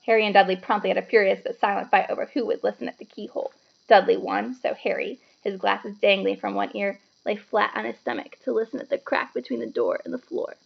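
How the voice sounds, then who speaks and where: A woman narrating a children's novel aloud as an expressive storybook reader. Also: mid-range voice, fast, creaky, dull audio.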